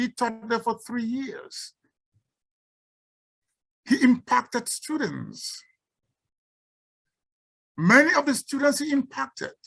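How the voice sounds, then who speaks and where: A Cameroonian woman speaks unscripted at an academic symposium over a video call, her voice sitting a little high. She talks haltingly, often stumbling over a word and repeating it before pushing on. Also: fairly bright tone. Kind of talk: unscripted talk and Q&A.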